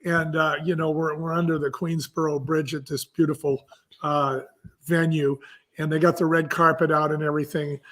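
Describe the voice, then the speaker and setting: A man's voice: a little high, little pitch movement, normal speed, fairly bright tone. A man talking conversationally as an interview guest, explaining things emphatically like a storyteller and repeating key phrases. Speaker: audiobook producer and guest.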